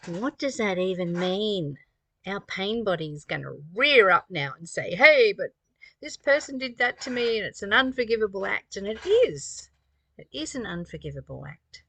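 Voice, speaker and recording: A woman's voice: medium pitch, bright, forceful tone. A woman in her sixties giving an earnest, preaching spiritual talk, reading passages and explaining them with rhetorical questions and repetition. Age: 60s. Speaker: spiritual teacher reading and commenting on a study text.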